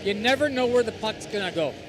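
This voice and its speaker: A man's voice: high-pitched, lively intonation, fairly quick, background noise. A man doing color commentary on a hockey game, chatty and joking in his asides.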